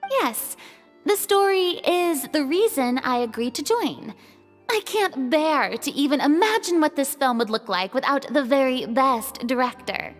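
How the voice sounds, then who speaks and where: A woman's voice: high-pitched, lively intonation, measured pace, long reverb tail, faint music behind. A woman playing a theatrical, self-assured young female film director in a video game. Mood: pleased, dramatic. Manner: theatrical, commanding.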